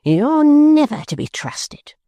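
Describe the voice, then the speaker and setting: Reader putting on a shrill screaming shopwoman. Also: very animated, slow, dark tone, no echo, clean studio sound, exaggerated voice. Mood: furious. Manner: screaming, scolding. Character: shrill furious middle-aged woman shop proprietress.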